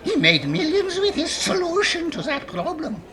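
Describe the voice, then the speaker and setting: A man's voice: extremely high pitch, wildly swooping pitch, normal speed, pushed and bright, full character voice. A man playing a cheery, chuckling hot dog vendor with sing-song, quaint phrasing in an old-time radio comedy. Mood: jolly. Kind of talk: 1940s radio comedy acting. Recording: live audience, laughing crowd behind.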